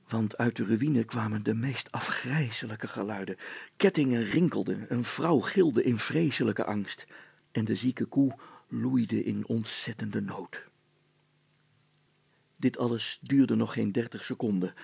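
A man's voice: fairly low pitch, vocal fry, darkish tone, slightly rough, dry recording. A man narrating a children's story in a dramatic storytelling style.

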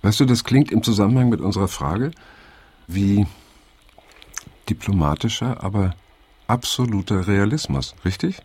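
An actor playing an aging, pensive narrator of a radio play, speaking gravely and in measured phrases with a gravelly voice. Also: male voice, lowish voice, animated, a little mellow, dry recording. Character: aging, pensive male narrator reading his diary.

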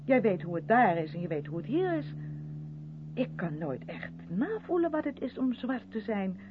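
A narrow, hissy vintage radio recording carries an actress as an earnest, defensive woman of the theatre. Her mid-pitched voice moves animatedly as she insists and justifies herself in radio drama style. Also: big pitch swings, normal speed, lightly acted. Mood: troubled, pleading. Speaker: radio play actor. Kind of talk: Dutch 1970s radio drama acting.

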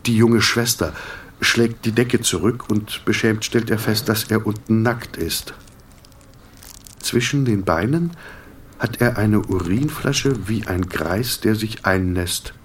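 A man's voice: on the low side, slow, far from the mic, slight background noise. A man narrating a literary audio drama from a script.

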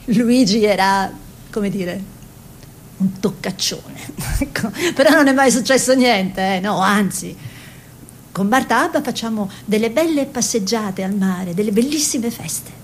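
Attrice nel ruolo di una pittrice italiana arguta. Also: female voice, measured pace, natural voice. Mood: amused. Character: spirited self-taught Italian woman painter, former artists' model, reminiscing. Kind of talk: theatrical monologue and dialogue for radio. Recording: radio play with faint noise and rumble.

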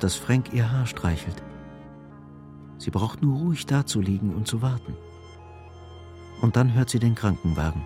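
A man narrating a radio drama in a grave, measured, scripted style. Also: fairly low pitch.

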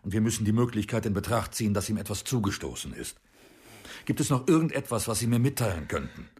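Husky, formal male police inspector. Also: lowish voice, animated, a little mellow. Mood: grave, official. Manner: formal, measured, probing. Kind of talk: radio crime drama dialogue.

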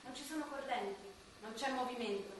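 A woman's voice: on the high side, a little fast, natural voice. An actress playing a jaded, scornful dead woman in a radio drama, blunt and dismissive in her delivery. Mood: bitter, bored. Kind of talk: theatrical radio drama acting. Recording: distant, echoey, noisy stage-like recording.

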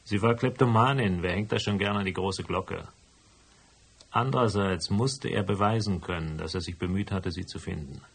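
An actor playing a stubborn, hardboiled private detective in a German radio drama, dry, laconic and matter-of-fact. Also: male voice, low voice, moderate pace, vocal fry, breathy edge, exaggerated voice. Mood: dry, explaining. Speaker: voice actor and first-person narrator.

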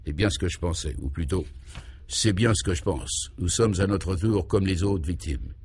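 A man voicing a troubled governor in a radio serial, grave and anxious, thinking aloud in halting, solemn phrases. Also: low voice, darkish tone, slight background noise, close to an everyday voice.

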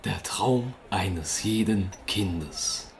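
A man playing an excitable live radio correspondent in a radio play. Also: low-pitched, very slow, creaky, a little hiss or hum, exaggerated voice. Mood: solemn. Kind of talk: mock live broadcast reporting, radio play.

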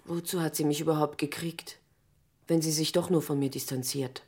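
An actress playing an aggrieved young adult daughter in a German radio drama, questioning and accusing. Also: female voice, low voice, fairly even intonation, moderate pace, heavy background noise. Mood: hurt, accusing. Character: aggrieved, probing adult daughter demanding family truths.

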